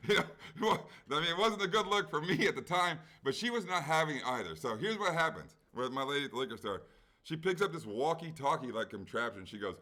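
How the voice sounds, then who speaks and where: A man's voice: a little high, brisk. A middle-aged man on a sports betting podcast, telling a long everyday story and acting out the people in it, with casual swearing. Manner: animated storyteller, acts out quoted people, swears freely. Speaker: podcast co-host. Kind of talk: casual chat, long anecdote.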